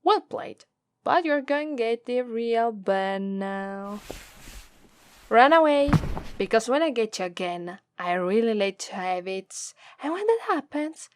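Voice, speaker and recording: A woman with an Italian accent voicing a haughty, menacing female demon who taunts and condescends in an ASMR roleplay. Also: a little high. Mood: gleeful menace. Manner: condescending, drawn-out, taunting delivery.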